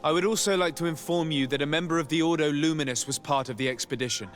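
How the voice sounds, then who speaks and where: An actor playing a composed young noble diplomat, speaking calmly, formally and articulately in a video game. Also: male voice, on the high side, fast, faint music behind, natural voice. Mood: earnest, persuasive. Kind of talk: video game drama acting.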